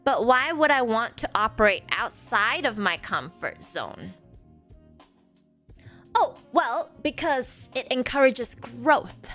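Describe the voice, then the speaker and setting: A woman in her forties who grew up in Malaysia, a streamer answering chat in a halting way with many fillers. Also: on the high side, big pitch swings, pushed and bright, faint music behind. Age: 40s. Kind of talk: live stream chat reading viewer comments.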